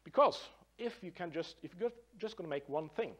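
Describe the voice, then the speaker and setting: A man's voice: on the high side, animated, fairly quick, a bit bright, highs cut off. A middle-aged man from Norway with a Norwegian accent, giving a loose, conversational conference presentation with many fillers and restarts, in a large conference room picked up from a distance. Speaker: conference speaker, design professor.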